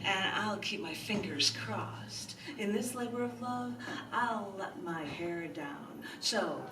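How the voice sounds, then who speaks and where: A woman at a live poetry open mic, reading and talking informally to listeners in a halting way. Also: medium pitch, lively intonation. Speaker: poet hosting an open mic. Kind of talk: live poetry reading with casual asides to the audience. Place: indoors in a large bookstore, live event. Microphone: picked up from a distance. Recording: roomy, distant, noisy live recording.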